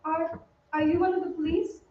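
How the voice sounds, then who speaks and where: An actress playing a frightened young opera singer, halting and pleading, in an old-time radio drama read on stage. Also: female voice, very high voice, little pitch movement, warm tone. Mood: fearful, suspicious. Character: frightened young female opera singer being lured underground. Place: theatre stage, away from the mic. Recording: distant, dull, echoey stage with faint noise.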